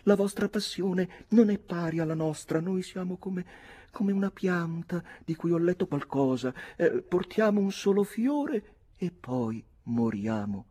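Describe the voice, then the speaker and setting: A man voicing a coquettish, indignant young gentlewoman with theatrical, gushing exclamations in a story reading. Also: high voice, animated, a little slow, slightly rough, pitched above the performer's own voice, exaggerated voice. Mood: sighing, coquettish.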